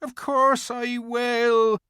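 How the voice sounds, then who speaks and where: Timid gentle male faun for a storybook reading, high, tearful and drawn-out, voiced by the narrator. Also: flat delivery, smooth, dry recording, clean studio sound. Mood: anxious, earnest. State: crying. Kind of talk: audiobook character voice.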